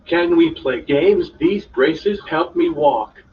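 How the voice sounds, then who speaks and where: Text-to-speech male reader, unhurried, children's story, played from a device across a room. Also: on the high side. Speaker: student reading with a speech device. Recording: dull, distant, noisy speaker playback.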